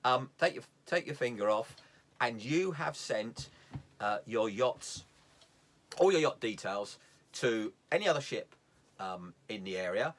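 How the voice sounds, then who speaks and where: A man's voice: mid-range voice, animated, unhurried, a bit bright. A man giving an informal, hands-on instructional talk as a sailing instructor, plain-spoken and step by step, repeating key points for emphasis.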